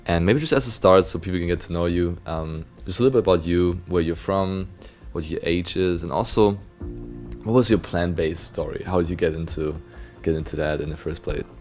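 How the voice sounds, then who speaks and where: A man's voice: low voice, a little fast, darkish tone, soft background music. A man hosting a testimonial interview over a video call, encouraging and enthusiastic with short affirmations. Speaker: interview host for a coaching company.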